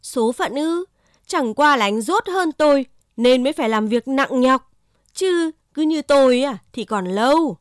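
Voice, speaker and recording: A woman's voice: sits high, animated, measured pace, no echo, studio quality. A woman voicing a smug, boastful, idle horse in a cartoon fable. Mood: condescending. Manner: condescending, boastful.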